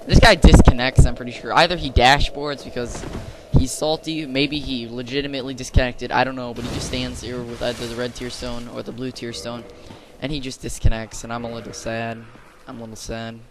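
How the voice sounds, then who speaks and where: A young man with a slightly hoarse, mid-pitched voice talks casually over his gameplay footage. He rambles and restarts his sentences like a regular channel host. Steady background noise sits clearly under the recording. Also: fairly quick. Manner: chatty, rambling, with fillers and restarts.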